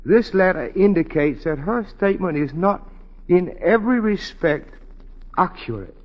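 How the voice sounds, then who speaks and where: An actor playing a discreet, obsequiously polite confidential clerk with formal, measured speech in a 1940s radio drama. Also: male voice, high-pitched, lively intonation. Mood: deferential, measured. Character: discreet, deferential male confidential clerk, quietly cunning. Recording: muffled 1940s radio recording.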